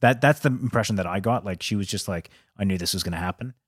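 Casting: man, lowish pitch, dry sound, loose hesitant chat for a film podcast. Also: fast, breathy edge, no room sound. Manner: hesitant, thinking aloud, swearing. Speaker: film review podcast co-host. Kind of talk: casual chat.

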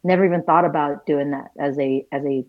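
A woman's voice: low-pitched, fairly even intonation, brisk. A woman in her thirties from Malaysia, a guest talking casually and thoughtfully about her path, with self-deprecating asides. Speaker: guest, visual storyteller. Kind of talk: casual conversational interview. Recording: dull lo-fi sound.

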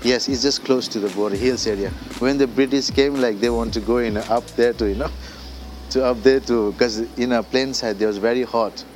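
A Nepali-speaking man with a Nepali accent, a singer-songwriter talking casually as a guest, halting and full of fillers like "you know" and "like". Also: mid-range voice, steady tone, a little mellow, soft background music. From Darjeeling hills, India. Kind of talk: casual interview. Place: indoors.

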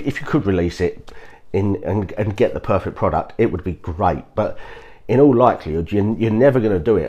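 A man with a somewhat low voice, hoarse and croaky from a cold. He hosts a podcast in a loose, unscripted way, restarting sentences and urging his listeners along with an earnest "trust me". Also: a little mellow. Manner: earnest, repeats "guys, trust me", frequent restarts. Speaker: podcast host. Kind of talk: casual motivational talk.

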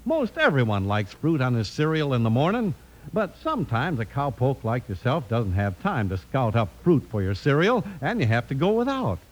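Animated male old-time radio announcer. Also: medium pitch, normal speed, rough recording. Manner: folksy, polished announcer delivery. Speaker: announcer and narrator. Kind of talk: 1950s radio sponsor pitch and narration.

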